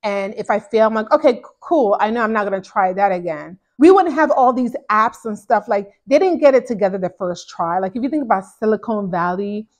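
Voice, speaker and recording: A chatty woman in her thirties, originally from Ghana, answers viewer questions in a relaxed, animated way. She talks to camera as a therapist host, close on a lapel mic in a small indoor room. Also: mid-range voice, a little fast, hardly any pauses. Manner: chatty, animated, gestures a lot while talking. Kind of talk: casual Q&A chat to camera. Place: small indoor home office.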